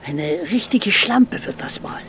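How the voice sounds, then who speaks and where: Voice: medium pitch, animated, measured pace, a bit bright, in a whisper. An actor playing a bitter, scornful old villager who mutters fatalistically in a radio drama. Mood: scornful, muttering.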